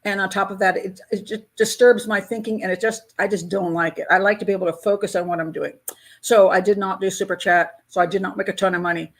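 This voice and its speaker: A woman's voice: mid-range voice, fairly even intonation, quick pace. Older woman chatting candidly. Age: 60s. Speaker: host of a crime-profiling channel. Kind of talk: casual live chat with patrons.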